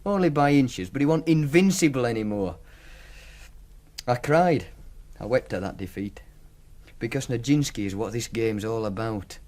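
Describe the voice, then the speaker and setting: A man's voice: medium pitch, lively intonation, normal speed, long pauses, a little mellow, natural voice. A man acting in a radio drama as a cocky, teasing racing punter with a Yorkshire accent, speaking colloquially like a storyteller. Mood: nostalgic, wistful. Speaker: actor.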